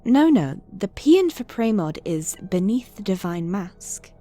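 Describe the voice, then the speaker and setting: An actress playing a bookish, sceptical cleric of a knowledge god in a fantasy audio drama. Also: female voice, medium pitch, big pitch swings, measured pace, dark tone, faint music behind.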